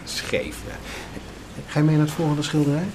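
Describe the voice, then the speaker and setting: A man's voice: on the high side, level tone, moderate pace, noisy background, natural voice. A man playing a patient father who explains things to his young son in a radio drama. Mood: mildly puzzled.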